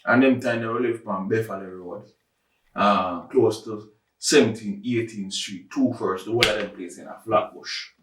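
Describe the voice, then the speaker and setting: A man's voice: on the low side, normal speed, noisy background. A man with a Jamaican accent hosting a casual podcast, making his points emphatically and repeating words as he builds them. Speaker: podcast host. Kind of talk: casual discussion and storytelling. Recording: echoey room, distant from mic.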